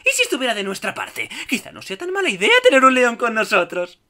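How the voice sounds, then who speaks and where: A man's voice: very high-pitched, very animated, fast, pushed and bright, full character voice. A man voicing a cocky, wisecracking little meerkat in a cartoon dub, with a Castilian Spanish accent. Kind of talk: cartoon fandub audition.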